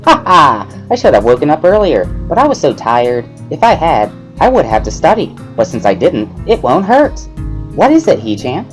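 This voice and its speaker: A man's voice: on the high side, wildly swooping pitch, normal speed, soft background music, voice pushed up. A man voicing a bubbly, playful, scatterbrained teenage schoolgirl in a dramatic visual novel reading, exclaiming and drawing words out in a sing-song way.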